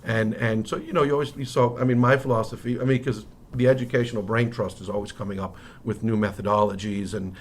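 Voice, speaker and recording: Interview guest: male, middle-aged, American accent, lower register, casual anecdotal delivery that restarts and rephrases, some noise behind. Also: steady tone, fast talker, hardly any pauses. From Miami, United States. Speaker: interview guest, history professor. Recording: noticeable background noise.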